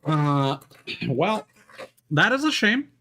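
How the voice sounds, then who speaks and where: Man live streaming, slow with swooping pitch. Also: very animated, pushed and bright. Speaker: video game live streamer. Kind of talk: casual live stream chat, thinking aloud while troubleshooting.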